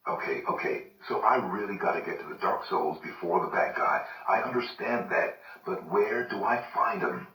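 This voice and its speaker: An actor playing a weary, tough hero haunted by his dead brother, talking gruff and impatient. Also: low voice, normal speed, hardly any pauses, bright, forceful tone. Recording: echoey, distant game audio, noisy.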